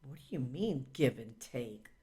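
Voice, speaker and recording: A woman's voice: rumbling low, lively intonation, measured pace, dark tone, breathy edge, pitched below the performer's own voice, exaggerated voice. A woman voicing a curious, eager child with naive, wondering questions in a children's audiobook. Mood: puzzled.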